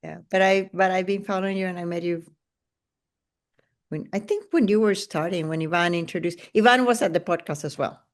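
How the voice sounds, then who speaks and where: A woman's voice: fairly low pitch, fairly quick. A woman hosting a podcast, welcoming her guest and asking questions in a gracious manner. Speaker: podcast host. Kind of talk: podcast intro and interviewing.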